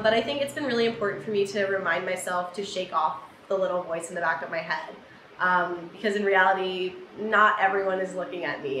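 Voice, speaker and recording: A young woman student, about twenty, reflects to camera in a loose, chatty way, full of ums and restarts, and says she has a loud voice. The room rings around her, and music plays clearly underneath. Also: mid-range voice. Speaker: engineering student vlogger. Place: room with large window, indoors. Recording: roomy with background music.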